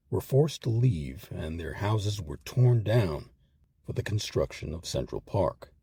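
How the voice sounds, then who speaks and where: A man reading scripted documentary narration in a measured, articulate way with emphatic phrasing. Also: deep voice, mellow, husky, no echo. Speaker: narrator of a history program.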